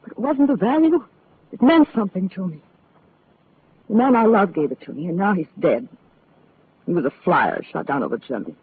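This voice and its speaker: A woman's voice: fairly low pitch, lively intonation, brisk, long pauses, a little hiss or hum, natural voice. An actress playing a frightened young married woman in a 1940s radio drama, nervous and pleading. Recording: tinny old radio broadcast.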